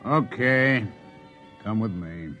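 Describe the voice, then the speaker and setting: Deep-voiced bullying agent, curt. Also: male voice, animated, slow pace, pushed and bright, faint music behind, heavily acted. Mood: menacing. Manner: curt, commanding, tough-guy slang. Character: big menacing bullying government agent, gruff thug. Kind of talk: old-time radio drama acting.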